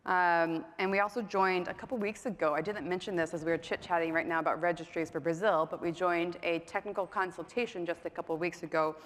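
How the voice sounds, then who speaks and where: A woman's voice: on the low side, steady tone, brisk, hardly any pauses. Woman moderating a panel in echoey hall. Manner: chatty, self-deprecating, many ums. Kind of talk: conference panel introduction. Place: conference hall. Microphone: mic on stage.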